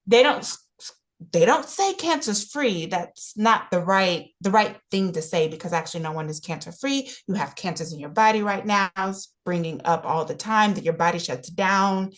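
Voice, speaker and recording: An actress playing an earnest, talkative woman in a stage play read, speaking haltingly and insistently with repeated phrases. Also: medium pitch, lightly acted. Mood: insistent, confiding. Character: Ruthie, talkative, earnest, nosy woman offering unasked health advice.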